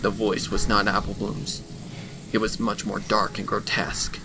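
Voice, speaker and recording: Gravelly, low-voiced male storyteller. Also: little pitch movement, normal speed, a loud crowd. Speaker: narrator. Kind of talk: dramatic scripted story narration. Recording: music behind the voice.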